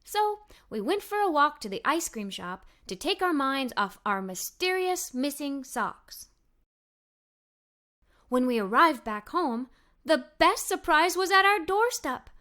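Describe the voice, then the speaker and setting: Animated high-pitched woman reading a children's story. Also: unhurried. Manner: animated, expressive. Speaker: storybook narrator. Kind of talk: scripted children's story read-aloud.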